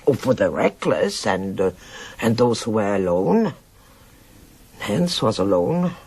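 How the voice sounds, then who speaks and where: An old woman of faded glamour in a radio drama. Her voice is gravelly and husky, swooping widely as she looks back with frank, worldly nostalgia. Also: extremely low pitch, sing-song, slow pace, a little mellow, exaggerated voice. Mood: nostalgic. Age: older. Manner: theatrical, rambling reminiscence with sighs and interjections.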